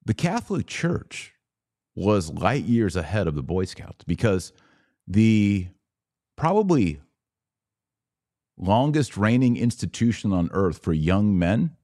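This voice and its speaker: A man's voice: fairly low pitch, sing-song, slow, long pauses, mellow, dry recording, clean studio sound. An American man hosting a podcast, giving informal, opinionated religious commentary in an emphatic, conversational way with rhetorical asides.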